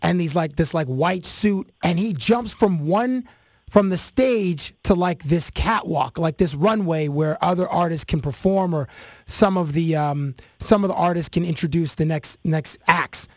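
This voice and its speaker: A man from Canada hosting an interview, telling stories in an animated way with many fillers. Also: a little high, measured pace, dry recording, studio quality. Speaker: podcast host. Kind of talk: casual interview chat.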